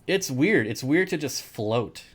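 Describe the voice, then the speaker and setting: A man co-hosts a podcast, speaking casually at a middle pitch. He talks in a hesitant, halting way and leans on fillers while he gathers his thoughts. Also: animated. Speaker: podcast co-host. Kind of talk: casual chat.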